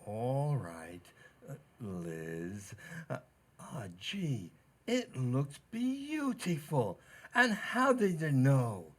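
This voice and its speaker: A man playing an oafish, lovestruck butcher in 1940s radio comedy, husky-voiced and halting. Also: medium pitch, huge pitch swings, fairly bright tone, exaggerated voice. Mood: delighted, doting. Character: smitten, oafish male butcher, bashful yet flirty.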